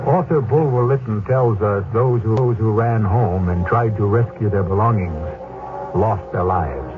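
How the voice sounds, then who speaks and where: A man hosting and narrating a radio drama in a measured, grave storytelling manner. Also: lowish voice. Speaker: host and narrator. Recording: tinny old radio broadcast with music.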